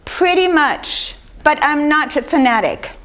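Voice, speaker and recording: An older woman with a slightly rough voice gives an informal lecture, talking conversationally and putting questions to her audience. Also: high-pitched, lively intonation, measured pace, fairly bright tone. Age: 50s or older. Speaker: speaker giving a lecture on raw food.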